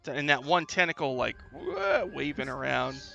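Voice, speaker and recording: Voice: medium pitch, moderate pace, vocal fry, dull audio, music in the background. A game master narrating a tabletop fantasy game in a casual, conversational, improvised way.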